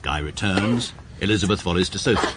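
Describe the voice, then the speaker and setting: A man narrating in the first person, dry and deadpan. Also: low voice, a little fast. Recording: noisy radio recording.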